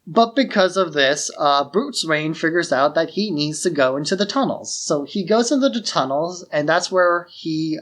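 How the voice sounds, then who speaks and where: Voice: medium pitch, animated, normal speed, hardly any pauses, a bit bright. A podcast host recapping in a casual, conversational way, explaining things step by step like a storyteller. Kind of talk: casual film plot recap.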